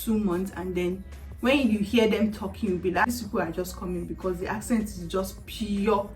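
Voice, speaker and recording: A woman in her thirties from Nigeria with a Nigerian accent, chatting casually to camera as a vlogger, animated, laughing often and slipping into Nigerian Pidgin phrases. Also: medium pitch, moderate pace. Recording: heard from a distance, with faint music.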